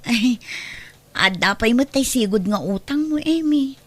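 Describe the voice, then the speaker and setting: A woman's voice: fairly high pitch, expressive, a little slow, no echo. A woman acting as a thrifty neighbor who is reluctant to lend money again, in an audio drama. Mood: wary. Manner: wary, reluctant.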